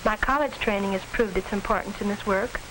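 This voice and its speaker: Poised American head secretary, an actress, crackly 1940s film. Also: female voice, medium pitch, brisk, close to an everyday voice. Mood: businesslike. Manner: businesslike, formal, articulate. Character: poised, tactful woman office manager and head secretary. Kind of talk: 1940s instructional film acting, scripted.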